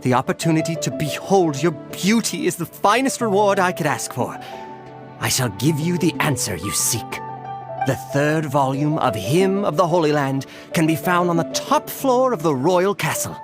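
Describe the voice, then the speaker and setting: A man voicing a chivalrous, effusive citizen of a fantasy kingdom in a video game, full of flowery flattery and devotion. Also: a little high, normal speed, music in the background, natural voice. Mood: gallant, flattering.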